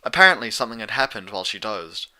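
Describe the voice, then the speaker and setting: A man's voice: fairly low pitch, lively intonation, quick pace, sharp tone. A man reading scripted story narration as an audiobook narrator of fan fiction.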